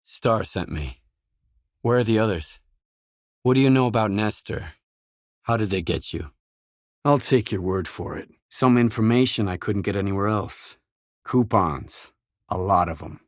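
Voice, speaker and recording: An actor playing a gruff, guarded, hard-bitten mercenary in a video game, speaking in terse, blunt, businesslike lines. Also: male voice, on the low side, lively intonation, a little slow, pauses often, dry recording, studio quality. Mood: questioning, guarded.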